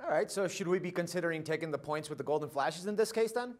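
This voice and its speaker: A man's voice: fairly high pitch, animated. A man hosting a sports betting show in a studio, talking in an upbeat, casual way. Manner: upbeat, quick, casual bro-ish address. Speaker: host. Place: studio set.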